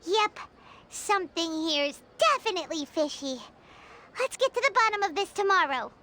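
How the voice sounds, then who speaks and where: Tiny excitable fairy companion for a game: extremely high, childlike, squeaky, very animated. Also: female voice, moderate pace, noisy background. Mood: determined. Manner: chirpy, speaks of self in third person. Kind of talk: video game voice acting. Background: game music.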